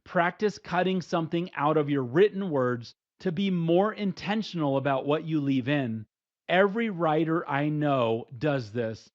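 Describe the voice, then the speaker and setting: Middle-aged male instructor, steady and plain, a bit high-pitched, with muffled lo-fi sound. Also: a little slow. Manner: steady, plain, numbered advice. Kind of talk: scripted instructional talk. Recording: dull lo-fi recording.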